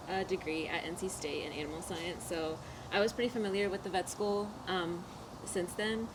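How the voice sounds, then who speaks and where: A young woman from North Carolina speaking in a relaxed way, with "uh" and "um" fillers, as a veterinary student. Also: fairly low pitch. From North Carolina, United States. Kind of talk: casual group interview. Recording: clear background noise.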